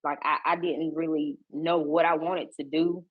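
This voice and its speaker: A woman, a guest on a podcast, talking in a relaxed, casual way with repeats and restarts. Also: sits low, moderate pace, no echo, phone-quality sound. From Georgia, US. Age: 30s. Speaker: guest, fitness coach.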